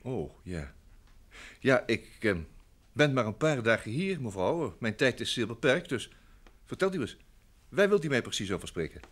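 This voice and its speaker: A man's voice: medium pitch, big pitch swings, moderate pace, fairly bright tone, a little hiss or hum. A man acting a polite, busy secretary in an old Dutch radio drama, speaking in hesitant phrases with repeated words. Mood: busy, curt. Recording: vintage radio drama recording.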